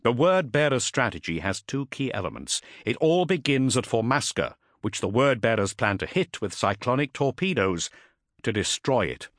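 A man voicing a stern, resolute warrior captain in an audiobook, speaking formally with measured, emphatic oratory. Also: medium pitch, very animated, fairly bright tone, no room sound, studio quality, voice pushed up. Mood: grave, resolute.

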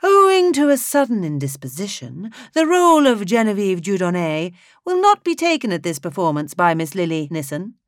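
A woman voicing a male conductor with a high, mellifluous voice, making formal announcements on stage in an audiobook. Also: very animated, normal speed, hardly any pauses, no echo, clean studio sound, close to an everyday voice. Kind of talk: audiobook character voice.

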